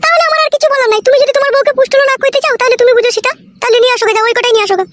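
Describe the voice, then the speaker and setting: A woman voicing a bossy, fussing, sharp-tongued young village wife in a comedy cartoon, with a rural West Bengal accent. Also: very high-pitched, racing. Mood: scolding. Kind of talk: comic cartoon dialogue.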